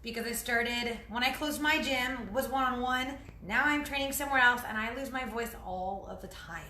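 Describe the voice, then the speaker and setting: A woman fitness trainer chatting casually through a home cooking vlog, hoarse from losing her voice, rambling and clearing her throat. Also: on the high side, moderate pace, pushed and bright, reverberant, a little hiss or hum. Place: home kitchen.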